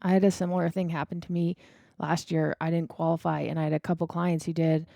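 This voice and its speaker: A woman in her thirties with a low, gravelly, mellow voice chats casually as a podcast co-host. She is matter-of-fact and keeps a flat, even melody. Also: fast, dry recording, clean studio sound. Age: 30s. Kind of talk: casual conversation.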